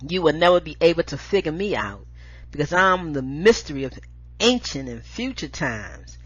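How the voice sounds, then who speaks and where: An American woman with a husky voice, hosting and reading spoken-word poetry with an emphatic, deliberate delivery. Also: low voice. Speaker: show host and poetry reader. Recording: dull lo-fi audio.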